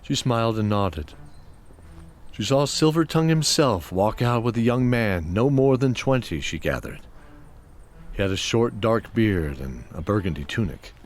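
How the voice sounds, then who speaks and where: A man's voice: lowish voice, animated, measured pace, a little hiss or hum. A man giving scripted narration of a fantasy story as its narrator.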